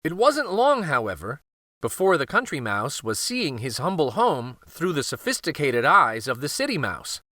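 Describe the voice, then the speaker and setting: A man narrating a children's storybook aloud, expressive and careful, with dramatic pauses. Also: a little high, no room sound, studio quality.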